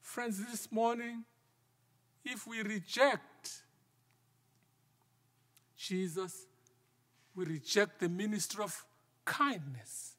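A middle-aged male minister preaching a sermon from the pulpit, in a measured style that sometimes drops to a near whisper for emphasis. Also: sits high, pushed and bright. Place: large wooden church sanctuary, at the pulpit. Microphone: pulpit microphone. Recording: long church reverb.

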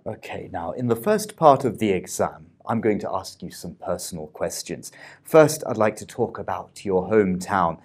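A man in his thirties with a very low voice asks scripted exam questions in a brisk, formal way. He is recorded from a distance in a small office. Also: very animated. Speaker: examiner.

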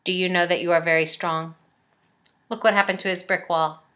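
A middle-aged woman reading a picture book aloud to young children, pausing to explain and ask questions. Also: on the low side, steady tone, pushed and bright. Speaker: elementary school staff reading aloud. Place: small living room, indoors.

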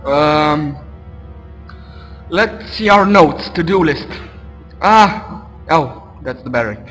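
A man from Romania talks through a horror game in a loud, excitable, joking way. His voice sits a little high, and it trails off into a long reverb. Faint game music plays underneath. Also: slow. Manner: loud, excitable, goofy taunting of game enemies. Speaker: let's play gamer. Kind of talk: casual gameplay commentary.

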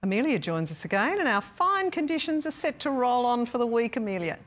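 A woman's voice: a little high, big pitch swings, fairly quick. A middle-aged woman with an Australian accent, anchoring a television news bulletin from a studio. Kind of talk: scripted newsreading.

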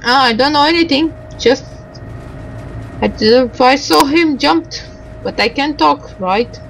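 A woman's voice: high-pitched, expressive, takes it slowly, vocal fry, a bit bright, a little hiss or hum, poor audio. A woman giving casual, unscripted game commentary, reacting in short broken phrases. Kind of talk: casual game commentary with bits of on-screen text read out.

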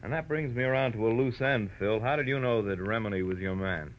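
An actor playing a matter-of-fact police detective in an old-time radio drama, measured and businesslike. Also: male voice, fairly low pitch, lightly acted. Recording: muffled, noisy old-time radio recording.

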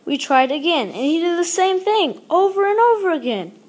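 A woman's voice: very high-pitched, normal speed. A woman reading a children's picture book aloud expressively, acting out the exclamations and dialogue. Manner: animated, acts out exclamations and dialogue. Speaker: storybook reader.

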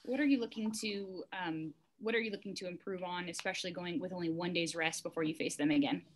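A woman's voice: fairly low pitch, quick pace, slight background noise. A woman reporter asking a coach questions at a press conference, tentative and restarting her sentences.

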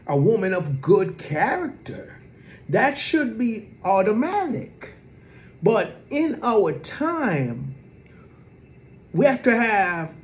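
A man with a soft voice preaching a sermon in a lively, conversational way, with many fillers. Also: sits high.

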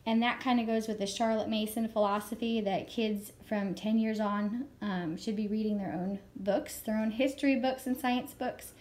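Hoarse, chatty woman reviewing books. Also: medium pitch, fairly even intonation, moderate pace, few pauses, darkish tone. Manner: chatty and enthusiastic, with fillers. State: hoarse from a cold. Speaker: homeschool curriculum reviewer. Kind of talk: casual show-and-tell review. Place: home room with a world map.

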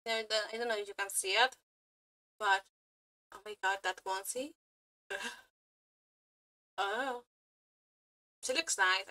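A woman reacting casually and excitedly as a streamer, gushing, exclaiming and swearing freely. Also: fairly high pitch, rough recording. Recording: music playing behind. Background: game trailer music.

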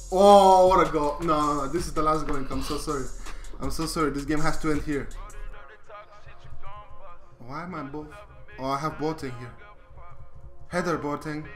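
A man playing a soccer game with a friend, excitably commentating and laughing as he goes. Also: on the high side. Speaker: gaming channel co-host. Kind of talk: casual gameplay commentary. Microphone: desk mic, no camera.

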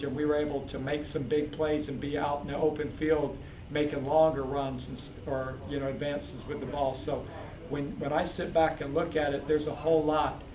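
Man coach with flat voice, echoey crowd. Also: a little high. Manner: steady, measured, frequent fillers. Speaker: head football coach. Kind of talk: postgame press interview. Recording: echoey, distant mic, crowd noise.

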